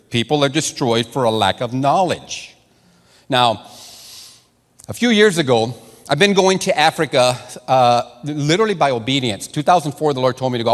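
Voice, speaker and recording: A man's voice: medium pitch, a bit bright, big-room sound. A man whose first language is Spanish, originally from Mexico, preaching a sermon and telling stories with lots of repeated words and restarts. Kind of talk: sermon to a congregation.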